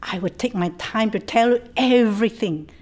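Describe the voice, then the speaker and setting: A woman's voice: a little high, moderate pace, darkish tone, lightly acted. A woman playing a cheerful, motherly kampong auntie in a radio play, with a Singaporean accent and a reassuring manner. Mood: cheerful, fond.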